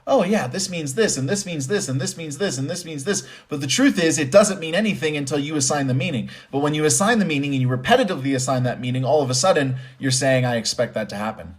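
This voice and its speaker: A man's voice: on the high side, fast talker, hardly any pauses. A man giving conversational motivational commentary with personal stories, stressing points by repeating phrases. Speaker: host and commentator.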